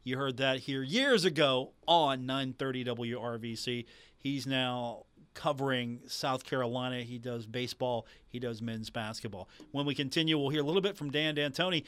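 A man's voice: medium pitch, normal speed, fairly bright tone, smooth, no room sound. Male sports radio host, dry close sound. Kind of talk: live sports talk radio hosting.

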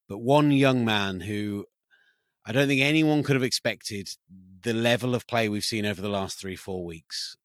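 Male sports podcast interviewer, slightly low voice, casual delivery, close dry recording. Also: fairly bright tone, dry recording.

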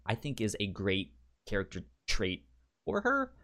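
A man's voice: lowish voice, wildly swooping pitch, a little slow, fairly bright tone. A man co-hosting a book club podcast, chatting casually and often stammering on the first sound of words. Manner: stammers on word starts, repeats words. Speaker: podcast co-host.

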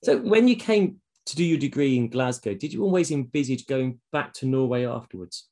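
A man's voice: medium pitch, lively intonation, fairly quick, warm tone. A man hosting a podcast interview, asking friendly, conversational questions. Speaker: podcast host.

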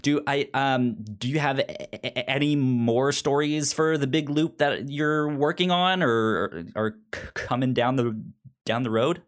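A man's voice: medium pitch, a little slow. A man hosting a casual podcast, chatting and reading announcements, sometimes tripping over and repeating words. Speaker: podcast host. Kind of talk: casual podcast interview and sponsor read.

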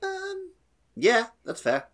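A man's voice: very high voice, wildly swooping pitch, slow pace, a bit bright. A man co-hosting a gaming podcast in casual conversation. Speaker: podcast co-host.

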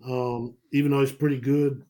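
A man's voice: mid-range voice, level tone, normal speed, warm tone. A man, chatting casually about sports, rambling and laughing often. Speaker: podcast guest.

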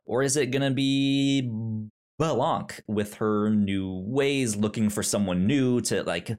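A man's voice: mid-range voice, takes it slowly, a little mellow. A man co-hosting a podcast, chatting casually about a film, who often stammers and restarts his words. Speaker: podcast co-host. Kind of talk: casual chat.

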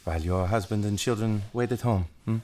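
A man's voice: on the low side, a little fast, darkish tone, close to an everyday voice. A man acting in an audio drama as a jealous, anguished husband and father. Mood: suspicious.

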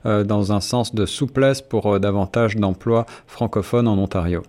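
A man's voice: on the low side, fairly even intonation, moderate pace, a little mellow. A man hosting a radio interview who restates and sums up his guest's points. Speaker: radio host.

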